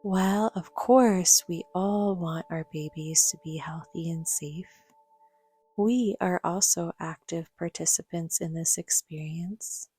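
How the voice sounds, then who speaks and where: A woman speaks very slowly in a fairly low, soft voice that often drops close to a whisper. She guides a meditation gently and soothingly, leaving measured pauses between phrases. Faint music plays behind her. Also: dry recording. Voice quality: soft, breathy, half-whispered. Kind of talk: guided yoga nidra meditation, soothing narration.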